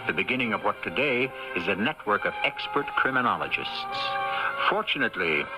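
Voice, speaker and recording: A man hosting an old-time radio mystery, reading scripted narration in a measured, dramatic way. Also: on the low side, sing-song, loud music behind the voice.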